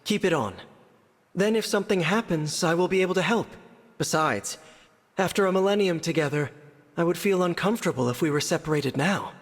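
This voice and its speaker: An actor playing a kind, courteous young heroic spirit in a video game, patient and reassuring. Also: male voice, fairly high pitch, lively intonation, brisk. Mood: reassuring, calm. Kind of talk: scripted video game voice acting. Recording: long reverb on the voice.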